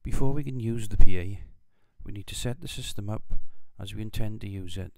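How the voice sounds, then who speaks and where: A man giving measured, scripted instructional narration in the manner of a tutorial instructor. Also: on the low side, gravelly, darkish tone, no echo.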